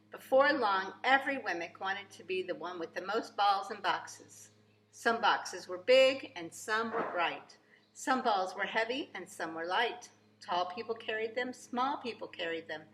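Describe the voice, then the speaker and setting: A woman reads a children's story aloud like a preschool teacher, with expressive, emphatic, theatrical phrasing. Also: medium pitch. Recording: echoey room, faint background noise.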